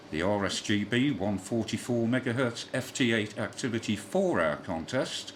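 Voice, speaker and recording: A man reading a scripted amateur radio news bulletin in a careful, formal way, spelling things out phonetically. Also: fairly low pitch. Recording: noisy shortwave single-sideband radio broadcast.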